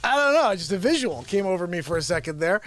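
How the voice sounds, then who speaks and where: A man from the United States hosting an unscripted live stream, rambling excitedly, chuckling and greeting viewers by name. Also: high voice, quick pace, soft background music. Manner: hypes the show with catchphrases. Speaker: livestream show host.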